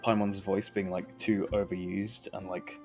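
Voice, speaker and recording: Low-voiced young man streaming a game. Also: little pitch movement, unhurried. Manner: rambling, hesitant. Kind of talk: casual gameplay commentary. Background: faint game music.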